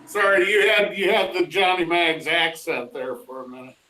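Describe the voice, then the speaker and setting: A man's voice: on the high side, fairly even intonation, moderate pace, sharp tone, rough recording. A man in a casual roundtable chat who keeps teasing and joking with the others. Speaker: roundtable panelist. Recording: faint background noise.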